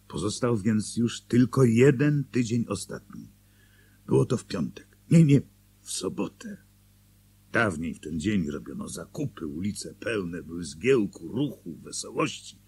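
Townsman narrator for radio drama: deliberate and slow, gravelly, dramatic, proud yet rueful. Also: on the low side, sing-song, darkish tone, full character voice. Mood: gloomy, rueful. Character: proud, then rueful small-town citizen telling his story.

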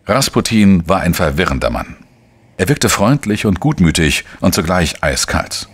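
An actor playing a dry, probing investigator who narrates a crime radio drama in a measured way. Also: male voice, low-pitched, big pitch swings, breathy edge, heavily acted. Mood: measured narrating. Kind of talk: scripted first-person crime narration and dialogue.

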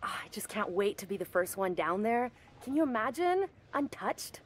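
A woman's voice: medium pitch, very animated, normal speed. A woman acting a breezy, eager, privileged young diver in a video game.

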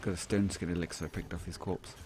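A man's voice: low voice, fast, noisy background. A man casually chatting and joking while playing a co-op game with a friend. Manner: playful, jokey, lively exclamations. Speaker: gaming channel host. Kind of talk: casual co-op gameplay chat.

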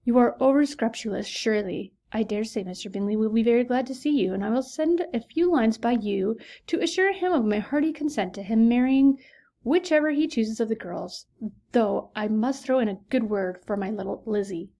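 A woman reading aloud, voicing a dry, teasing middle-aged husband in an unhurried tone. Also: on the high side, dark tone.

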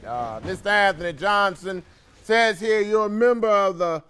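A middle-aged actor playing a formal, slightly distracted judge in sketch comedy. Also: male voice, high voice, normal speed, a bit bright, smooth. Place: courtroom set indoors.

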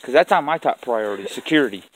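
A man with a mid-pitched voice films his camping trip by hand in the woods. His talk is casual, blunt and slangy, with plenty of swearing. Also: expressive, quick pace, poor audio. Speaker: camper filming the outing. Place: outdoors in woods at night. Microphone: handheld camera he is holding.

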